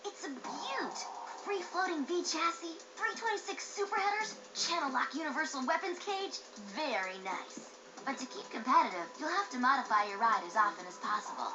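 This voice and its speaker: A woman's voice: high-pitched, animated, moderate pace. A woman playing a sassy, flirty female car mechanic in a video game, teasing and sure of herself. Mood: teasing, confident. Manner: teasing, confident. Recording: dull game audio, music behind.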